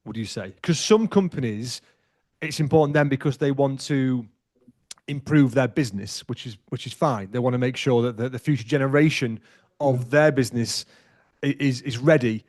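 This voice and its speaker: A man's voice: medium pitch, moderate pace, a little mellow, no echo. Chatty forty-year-old man, dry sound. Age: 40s. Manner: chatty, loose with many restarts and fillers. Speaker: podcast host. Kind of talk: casual interview chat.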